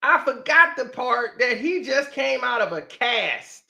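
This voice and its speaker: A man's voice: very high-pitched, big pitch swings, measured pace, vocal fry, sharp tone. A man giving a heated, mocking sports commentary rant that repeats its points.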